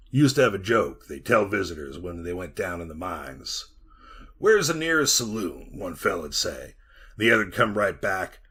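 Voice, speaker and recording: An actor playing a weathered, folksy Montana copper miner who rambles to a listener in a retro radio drama monologue. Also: male voice, low voice, pushed and bright, slightly rough, heavily acted. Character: weathered bearded Montana copper miner, 34, haunted yarn-spinner.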